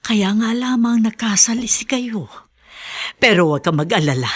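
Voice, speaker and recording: A woman playing a gentle middle-aged mother in a Filipino radio drama, soothing and motherly. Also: on the high side, expressive, normal speed, husky. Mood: reassuring.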